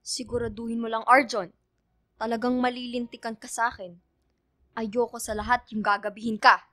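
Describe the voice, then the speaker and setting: A woman's voice: a little high, flat delivery, normal speed, pushed and bright, dry recording. A woman playing a strict, scolding Filipino mother in a radio drama, stern and nagging. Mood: stern, suspicious. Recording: dry studio with faint music.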